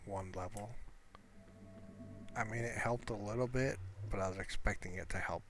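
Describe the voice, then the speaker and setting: A man's voice: fairly low pitch, moderate pace. A man giving casual, unscripted gameplay commentary, rambling and hesitant as he thinks aloud. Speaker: amateur gaming video creator. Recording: steady background noise.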